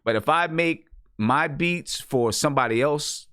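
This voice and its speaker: A man's voice: a little high, a little slow, bright, forceful tone, dry recording. Dry-sounding man chatting casually, emphatically. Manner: emphatic, repeats his phrases. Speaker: show host and beat maker. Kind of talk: casual chat about music.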